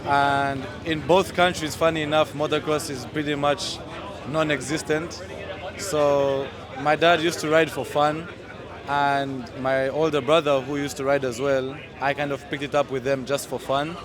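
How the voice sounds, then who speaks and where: A young man from Uganda talks about racing in an easygoing way at an outdoor track. His voice sits a little high. A loud crowd fills the background. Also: flat delivery, a little slow. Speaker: racer. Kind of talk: press day interview.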